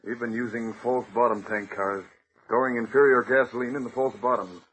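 An actor playing a scheming, imperious racketeer boss with a curt, commanding manner in an old radio drama. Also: male voice, medium pitch, fairly even intonation, a little fast, a bit bright, close to an everyday voice. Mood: uneasy, scheming. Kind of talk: 1940s radio acting. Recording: noisy old radio recording.

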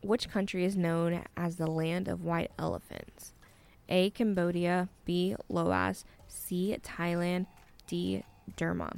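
Low, gravelly woman in her twenties. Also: level tone, takes it slowly, darkish tone. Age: 20s. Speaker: trivia quiz host. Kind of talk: casual trivia game with friends.

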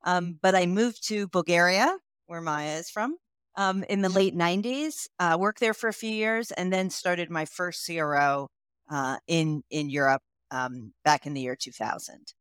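American woman, low and smooth. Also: a bit bright, no room sound, clean studio sound. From United States. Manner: measured, many ums while thinking. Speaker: CEO guest. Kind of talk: podcast interview.

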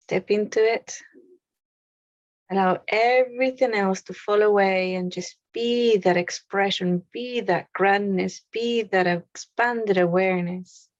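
A woman leading a guided meditation, speaking softly with long pauses between short phrases. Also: mid-range voice, steady tone, no room sound, highs cut off. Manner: slow, soft, with long pauses.

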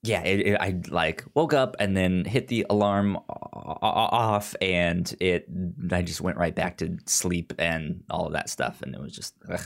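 A man hosting a podcast in casual chat, with a halting delivery full of stammered restarts. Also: low-pitched, gravelly. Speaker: podcast host. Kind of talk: casual chat about comics and film.

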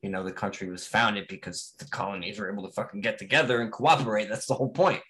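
A man's voice: lowish voice, fast talker, a bit bright. A man co-hosting a podcast, talking bluntly and casually, with easy swearing. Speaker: podcast co-host. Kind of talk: casual political chat.

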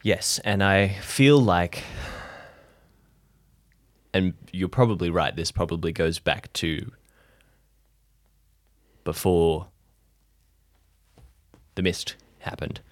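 A man playing a guilt-ridden, introspective friend in an improvised audio drama, opening up in halting, repeated phrases. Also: low voice, dry recording. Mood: reflective, weary.

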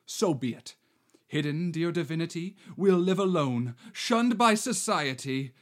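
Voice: mid-range voice, animated, measured pace, natural voice. A performer playing a swaggering male bandit who poses as a romantic marquis, delivering verse theatrically. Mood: grandiose. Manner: bombastic, theatrical verse delivery with wild swings. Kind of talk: amateur reading of a verse play.

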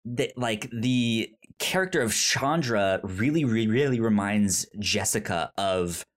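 Podcast co-host, mid-pitched voice, casual film chat, halting, stammered repetitions. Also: animated. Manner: halting, stammers on repeated syllables.

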